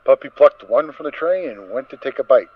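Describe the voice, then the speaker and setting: Lively, dramatic male story narrator. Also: medium pitch, a little fast. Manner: expressive, theatrical emphasis. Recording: dull recording with faint noise.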